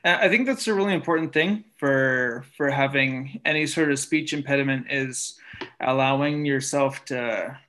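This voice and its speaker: A young man who stammers, repeating words and breaking off syllables, telling stories in relaxed casual conversation. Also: medium pitch, normal speed. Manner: relaxed storytelling with frequent fillers.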